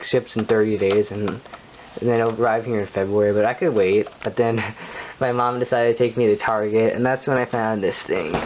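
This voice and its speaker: A late-teen young man, chatting casually in a rambling home vlog, full of fillers and restarts and frequent chuckles. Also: mid-range voice. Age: late teens. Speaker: vlogger. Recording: dull lo-fi recording with faint hiss.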